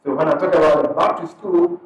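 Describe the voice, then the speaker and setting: A man from Ghana with a Ghanaian accent, an imam speaking to a gathered audience in a conversational, unhurried way, breaking his sentences into short pieces. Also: on the high side, fairly even intonation. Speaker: imam and parish missionary. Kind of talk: addressing an audience at a community event. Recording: very echoey hall, speaker far from the mic.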